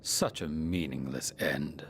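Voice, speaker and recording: A man's voice: a bass voice, slow, fairly bright tone, a little hiss or hum, heavily acted. An actor playing a cold, contemptuous male villain in a video game, speaking in a theatrical, condescending manner. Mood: cold disdain. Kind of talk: dramatic video game voice acting. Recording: music under the voice.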